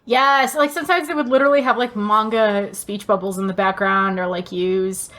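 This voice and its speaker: A woman's voice: mid-range voice, fairly even intonation, moderate pace, a bit bright. A woman co-hosting a podcast in casual chat, talking in an emphatic, gushing way and swearing casually. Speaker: podcast co-host. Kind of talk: casual chat, fan review.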